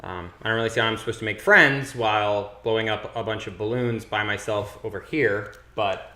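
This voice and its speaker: A man playing a tabletop game, talking in a low, put-upon mumble in character. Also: brisk, bright, forceful tone. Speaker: player. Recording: roomy echo.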